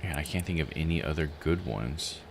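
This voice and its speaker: A man's voice: very deep voice, expressive, a little fast, creaky, background noise. A man giving casual live game commentary, thinking aloud in a musing, self-questioning way.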